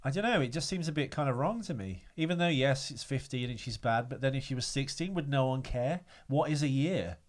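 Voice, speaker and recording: A man's voice: medium pitch, expressive, brisk, few pauses. A young man co-hosting a podcast in casual, candid chat with crude humor and plenty of fillers. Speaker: podcast co-host.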